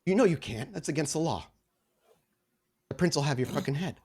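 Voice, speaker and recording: A man's voice: on the high side, lively intonation, brisk, vocal fry, a little mellow, breathy edge, heavily acted. A man playing a cornered, defiant captive who stammers out challenging retorts in a tabletop game. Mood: quiet warning.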